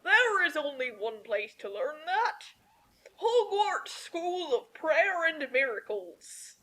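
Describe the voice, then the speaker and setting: A woman voicing a proud, beaming male giant who preaches with slow, solemn proclamations. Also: high voice, big pitch swings, a bit bright, exaggerated voice, low-quality recording. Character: proud, beaming evangelist giant groundskeeper, a man.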